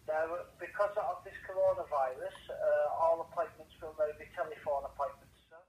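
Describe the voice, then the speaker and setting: Echoey phone-line man, higher-pitched, courteous call handler. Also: fairly even intonation, moderate pace, darkish tone. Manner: courteous, explanatory, often says sir. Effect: telephone through a speaker. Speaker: benefits helpline call handler. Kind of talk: polite customer service phone talk.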